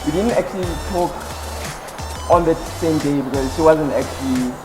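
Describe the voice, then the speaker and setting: A man's voice: a little high, normal speed. An eighteen-year-old man, a first-year law student, answering interview questions casually and hesitantly, with many ums and restarts. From South Africa (Eastern Cape). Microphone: headset. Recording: background music under voice.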